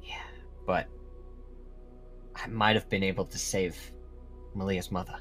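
A man's voice: low voice, little pitch movement, unhurried, fairly bright tone, faint music behind. A man playing an earnest, guilt-ridden young werewolf student, talking in a hesitant, conversational way in a game roleplay. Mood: uneasy, regretful. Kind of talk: casual scripted Minecraft roleplay.

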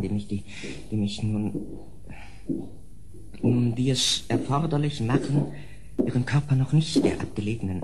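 Actor as brooding, low-voiced unhinged nobleman. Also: heavy background noise, natural voice. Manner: brooding, theatrical, fraught. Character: grieving, unhinged nobleman of a gloomy castle. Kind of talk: German horror radio play acting.

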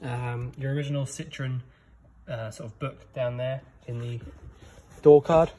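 A man talking through a camper van handover in a relaxed way with many ums, filming on a handheld phone. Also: medium pitch, a little slow, slight background noise. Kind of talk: casual walkthrough, filmed on phone. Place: in and around a parked van, workshop. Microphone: handheld phone, one-handed filming.

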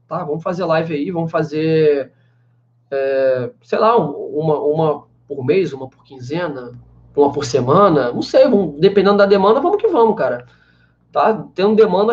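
A young man from Brazil with a fairly high voice answers viewer questions in a loose, rambling way, full of fillers. He talks to a webcam in a small room, and the sound comes through a little dull and lo-fi. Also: a little mellow. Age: 20s. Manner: chatty, many fillers like "né" and "cara". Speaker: language teacher answering live chat. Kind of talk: casual live-stream Q&A. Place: small room indoors with a banner behind.

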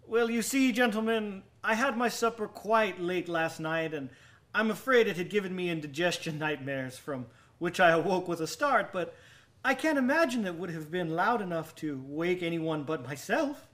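An actor in a radio drama playing an over-polite, nervous murderer with a genteel, halting way of talking. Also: male voice, high-pitched, lively intonation, a bit bright. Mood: nervous politeness. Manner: genteel, overly courteous, halting. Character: nervous, over-polite male murderer hiding guilt from police.

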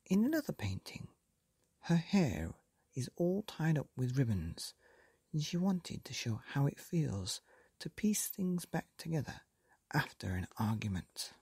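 Male bedtime storyteller, hushed and breathy, gravelly, unhurried, close dry mic. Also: fairly high pitch, sing-song, no echo. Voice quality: soft breathy half-whisper. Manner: slow, gentle and lilting. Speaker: storyteller and podcast host.

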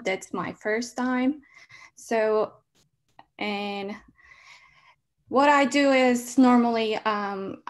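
A young woman from Turkey speaking English as a second language, presenting in an online meeting and pausing often with fillers. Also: medium pitch. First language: Turkish. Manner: halting non-native English with many fillers. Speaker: graduate student senator. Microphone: laptop mic on a video call.

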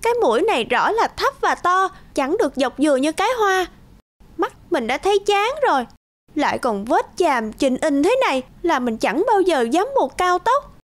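Very high-pitched bitter teenage girl. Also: very animated, moderate pace. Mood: self-pitying. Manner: whiny, dramatic, complaining. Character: self-loathing, bitter teenage schoolgirl. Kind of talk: animated moral tale monologue. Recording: music behind the voice.

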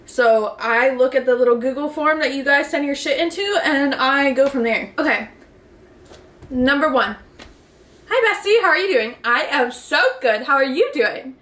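A woman's voice: high voice, normal speed, fairly bright tone. A young woman in her twenties chatting casually to camera in her bedroom, reading out viewer messages and giving advice, swearing offhandedly and saying "like" a lot. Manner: bubbly, swears casually, reads messages aloud, lots of "like". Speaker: vlogger answering viewer submissions. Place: bedroom, indoors. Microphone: camera in front, seated. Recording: echoey bedroom.

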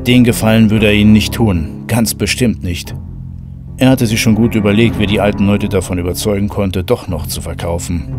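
A man's voice: lowish voice, fairly even intonation, brisk, over music, close to an everyday voice. A man narrating a horror audio drama as a composed storyteller, in scripted prose. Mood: measured, ominous.

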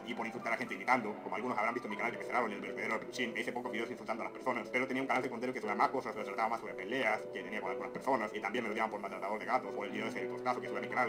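A young man with an unusually deep, announcer-like voice calmly explaining his side of a controversy into a webcam at home. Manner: calm explanatory storytelling. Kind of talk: confessional video monologue. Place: dim room at home.

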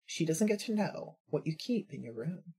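A narrator reading second-person fan fiction in a husky voice. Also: medium pitch, big pitch swings, moderate pace, dark tone.

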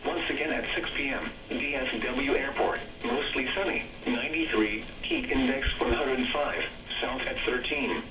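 A man's voice: mid-range voice, normal speed, distant mic, loud music behind the voice. A man's text-to-speech voice reading an automated weather broadcast in an evenly clipped synthetic manner, played through a radio speaker. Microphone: camera pointed at a weather radio receiver. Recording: radio speaker recorded from across an echoey room.

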